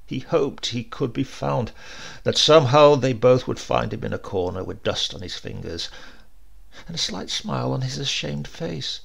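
A man reading a literary supernatural tale aloud in a measured, expressive storytelling manner. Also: medium pitch, creaky, breathy edge. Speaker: story reader.

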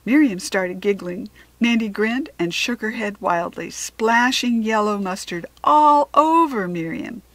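An American woman narrating a children's story expressively, with deliberate dramatic emphasis on key words. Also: mid-range voice, expressive, no room sound. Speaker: storybook reader.

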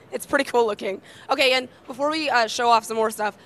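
A bubbly woman in her thirties interviews an exhibitor, reacting with quick, eager enthusiasm. She speaks into a handheld mic on a convention floor. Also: a little high, fairly bright tone, slight background noise. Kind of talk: trade-show interview. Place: busy convention hall booth.

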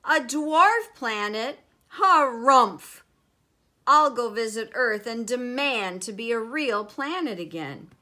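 A woman performs a little storybook planet who is indignant and easily wounded. He exclaims his lines emphatically in a high, forceful voice that swings widely. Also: lively intonation, a little slow, hardly any pauses. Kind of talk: children's storybook character voice.

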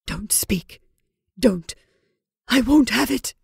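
A woman voicing a stern, fierce, commanding sister in a story, with a sharp, insistent manner. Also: mid-range voice, lively intonation, fairly quick, dry recording, clean studio sound, close to an everyday voice. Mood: tense, forbidding.

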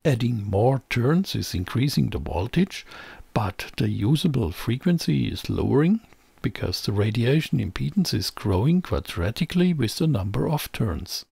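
A man reading scripted technical narration as a tutorial presenter, in a measured, explanatory way. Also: medium pitch, sing-song, vocal fry, mellow, husky, no room sound. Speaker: presenter of an electronics tutorial channel.

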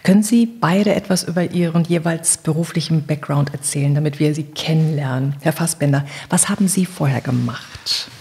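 Woman podcast host, lowish raspy voice, doing intros and questions, reverby sound. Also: normal speed, few pauses, dark tone. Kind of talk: prepared introduction and interview questions.

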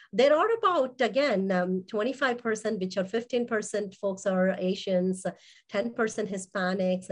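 Chatty woman interviewer. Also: mid-range voice. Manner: chatty, frequent fillers and restarts. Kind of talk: online interview show.

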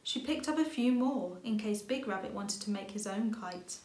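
A woman's voice: medium pitch, moderate pace. A woman in her thirties reading a children's story aloud expressively, indoors at a short distance from the camera. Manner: expressive reading with character lines. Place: indoor room with bookshelves. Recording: roomy home recording.

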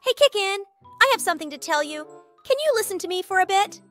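A performer voicing a childlike cartoon cat in a comic dub, squeaky, anxious, grumbling and snarky, with music behind. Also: animated, fast, fairly bright tone. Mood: earnest. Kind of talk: comic dub character acting.

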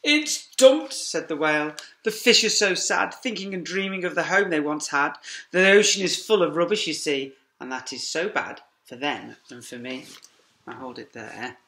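A middle-aged man teacher reading a rhyming picture book aloud to young children in a lively sing-song way, with quiet asides to them, filmed on a laptop webcam in a classroom. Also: a little high, moderate pace, slight background noise. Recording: echoey classroom.

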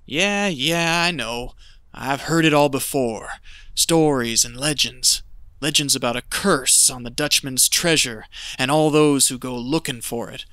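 Man acting greedy, bright-voiced desert treasure hunter. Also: a little high, dry recording. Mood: impatient, insistent. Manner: scheming, persuasive, folksy. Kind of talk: audio drama acting.

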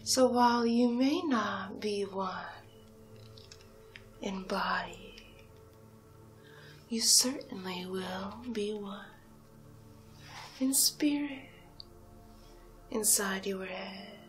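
A woman performing a whispered ASMR hypnosis roleplay as a haughty, mesmerizing alien cow, breathy and drawn-out with long pauses. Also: mid-range voice, soft background music. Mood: haughty, coaxing.

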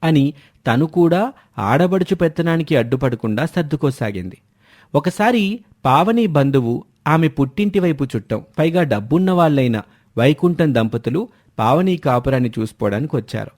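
A man with a fairly high-set voice narrates a scripted family tale in the manner of a storyteller. He reads it as a moral story. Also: lively intonation, normal speed, darkish tone.

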